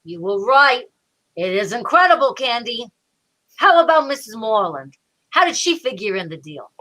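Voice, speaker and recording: Woman playing a bright, lively, bossy male police detective. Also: on the high side, moderate pace. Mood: dry, fond. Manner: blustering, slangy tough-cop talk. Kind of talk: old-time detective radio drama.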